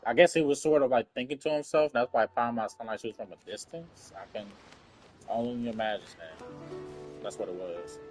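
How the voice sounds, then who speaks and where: A man's voice: mid-range voice, fairly quick, darkish tone. A man giving offhand, slangy gameplay commentary and swearing freely. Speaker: game streamer. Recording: game music behind the voice.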